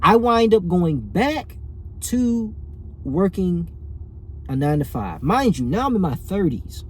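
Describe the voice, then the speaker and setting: Husky man telling stories. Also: sits high, sing-song, measured pace. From rural Alabama, US. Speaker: vlogger telling personal stories. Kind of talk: casual storytelling to camera.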